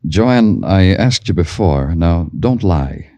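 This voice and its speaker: A weary American police detective in a vintage radio crime drama. His voice is low and close in a dry room. He talks slowly and deliberately, brooding and insistent in classic noir fashion. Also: animated, warm tone, dry recording, full character voice. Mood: grave, pressing. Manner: slow, deliberate, hard-boiled noir delivery. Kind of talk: 1940s-50s radio crime drama acting. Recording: old radio drama recording.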